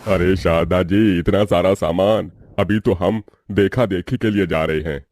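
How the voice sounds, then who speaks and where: A man's voice: low-pitched, steady tone, unhurried, darkish tone, no room sound, clean studio sound. A man playing a mild, practical middle-aged husband in a cartoon family story. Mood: mildly protesting.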